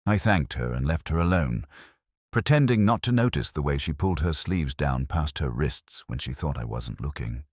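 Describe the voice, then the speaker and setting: A man plays a world-weary middle-aged detective telling his own mystery story. His voice sits extremely low yet moves expressively through each phrase. The delivery is reflective and literary, recorded close and dry. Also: expressive, normal speed, dry recording. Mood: brooding, reflective. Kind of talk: scripted first-person mystery narration.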